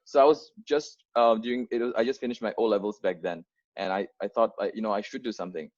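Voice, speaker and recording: A man's voice: on the low side, fairly even intonation, quick pace, a little mellow. Earnest young man on a muffled call. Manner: earnest, frequent fillers and restarts. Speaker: founder giving an onboarding talk. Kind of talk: unscripted presentation to volunteers.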